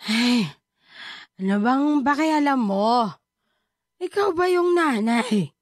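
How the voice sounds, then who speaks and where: Very shrill, scolding Filipino mother. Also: expressive, takes it slowly, dry recording, clean studio sound. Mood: irritated scolding. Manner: scolding, belittling, hot-tempered. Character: abusive, lazy, drunken mother, bitter and scornful. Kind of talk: Filipino radio drama acting.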